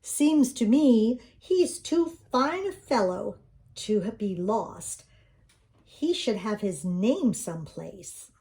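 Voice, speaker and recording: A woman's voice: fairly high pitch, lively intonation, slow, warm tone. A woman voicing a kindly young male artist who muses thoughtfully aloud, in a children's storybook reading. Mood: kindly, musing.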